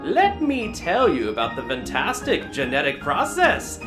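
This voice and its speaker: A man's voice: fairly high pitch, huge pitch swings, unhurried. A man playing a genial showman park owner. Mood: narrating. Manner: expansive, showman-like presentation. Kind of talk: fan audio drama acting. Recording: music underneath.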